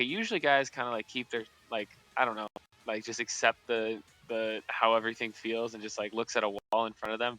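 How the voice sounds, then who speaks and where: A man's voice: medium pitch, sharp tone, clear, resonant tone, rough recording. A young man telling a story casually on a stream, rambling with many restarts and fillers and often breaking into laughter. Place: indoors at a streaming setup.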